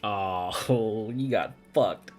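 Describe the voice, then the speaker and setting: A woman's voice: a bass voice, extremely slow, soft background music. A woman game streamer giving casual, sweary live reactions in a blunt, teasing, exclamatory way.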